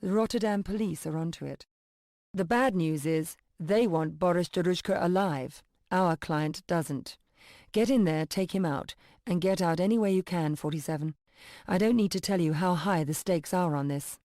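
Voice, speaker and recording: A woman's voice: lowish voice, normal speed, warm tone, no room sound, clean studio sound, close to an everyday voice. A woman playing a composed, businesslike handler briefing an assassin in a video game, in formal phrasing. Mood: cool, businesslike.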